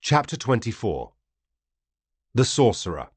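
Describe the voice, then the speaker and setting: A man reading a first-person story as an audiobook narrator, grave, theatrical and deliberate. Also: mid-range voice, big pitch swings, husky, no echo.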